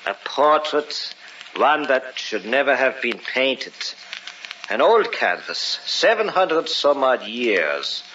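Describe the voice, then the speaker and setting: An actor playing a reluctant, fearful art dealer in a radio horror drama, speaking gravely, formally and with ominous hesitation. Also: male voice, mid-range voice, big pitch swings, takes it slowly, bright, forceful tone, full character voice. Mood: grave reluctance. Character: uneasy, reluctant male antique art dealer. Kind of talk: old-time radio horror drama acting. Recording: muffled, hissy old radio recording.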